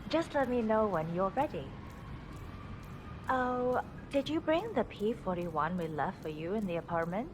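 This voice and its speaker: A woman's voice: medium pitch, animated, unhurried, natural voice. An actress voicing a polite, slightly flustered young woman in her twenties who works as a facility contact in a video game. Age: 20s. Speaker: game character. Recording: game audio with noisy ambience.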